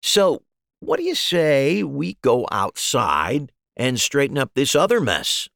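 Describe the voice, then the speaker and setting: A patient magician played by a male narrator coaxes with simple, encouraging questions in a storybook reading. The voice is animated and slightly gravelly, recorded dry. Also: medium pitch, slow pace, dry recording, pitched above the performer's own voice, exaggerated voice. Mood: gently encouraging. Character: reassuring magician coaxing someone gently through a trick. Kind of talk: audiobook dialogue.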